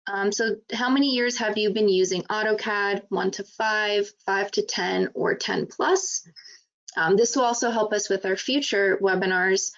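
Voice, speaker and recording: An upbeat woman hosts a live webinar in a casual way, with plenty of fillers. Her voice has a gravelly fry to it. It comes through dull, lo-fi call audio. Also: medium pitch, fairly even intonation, measured pace, fairly bright tone. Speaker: webinar host from marketing.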